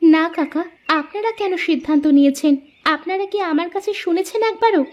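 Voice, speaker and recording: A woman's voice: very high voice, normal speed, a little mellow, muffled recording. A woman voicing a proud, honest young village girl in a moral story cartoon, speaking earnestly and firmly. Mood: defiant.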